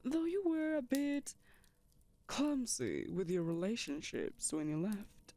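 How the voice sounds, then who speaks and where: A woman's voice: fairly high pitch, very animated, takes it slowly, dark tone, heavily acted. A woman playing an ancient vampire mistress in an audio roleplay, syrupy, possessive, condescending and theatrical. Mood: coy, condescending. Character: ancient female vampire mistress, saccharine, possessive, callously vain.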